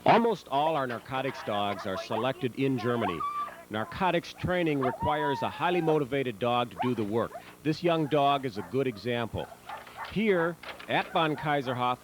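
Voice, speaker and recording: A man reading scripted promotional narration in a measured, instructional manner. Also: medium pitch, animated. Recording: noisy old VHS tape transfer.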